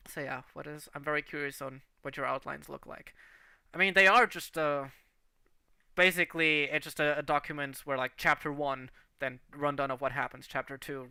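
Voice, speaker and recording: A man's voice: fairly high pitch, sharp tone, clear, resonant tone, no echo. A man from Portugal, English not his first language, chatting on a creators' livestream about planning a long series, rambling with many restarts. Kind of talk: casual livestream chat.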